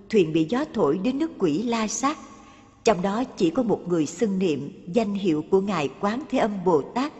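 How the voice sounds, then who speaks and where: A woman's voice: medium pitch, darkish tone. Reverberant female narrator, formal. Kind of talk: scripted narration of a Buddhist animated film. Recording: muffled, long hall reverb.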